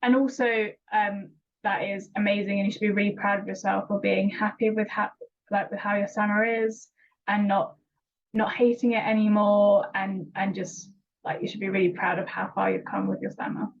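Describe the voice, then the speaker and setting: A young woman hosting an interview chat and speaking encouragingly to her guest. She stammers, repeats words and fills pauses with "um." Her pitch sits in the middle range, and the recording sounds dull and lo-fi. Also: level tone. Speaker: interviewer and regular vlogger.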